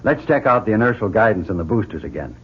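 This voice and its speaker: An American man acting in an old-time radio drama as a confident astronaut commander and husband, with a husky voice. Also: fairly low pitch, big pitch swings, fast talker. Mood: calm, commanding. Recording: dull vintage radio drama recording.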